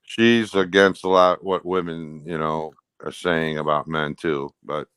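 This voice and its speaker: A man's voice: a bass voice, steady tone, unhurried, a bit bright, clear, resonant tone, no room sound. An American man in casual conversation, talking in a blunt, rambling way. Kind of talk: casual chat.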